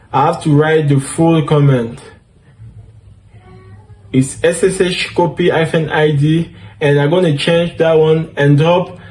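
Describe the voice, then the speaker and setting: Man, French accent, fairly high voice, tech tutorial, step-by-step, lots of ums and self-corrections. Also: darkish tone. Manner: talks through each step, many fillers and self-corrections. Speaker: DevOps instructor. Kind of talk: screen-share tutorial walkthrough.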